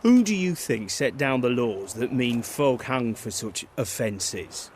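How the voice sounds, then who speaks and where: An actor playing a plain-spoken, quietly disillusioned soldier on guard in a fantasy video game, talking in blunt, clipped, colloquial phrases. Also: male voice, mid-range voice, animated, takes it slowly, slight background noise. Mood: resigned, bitter.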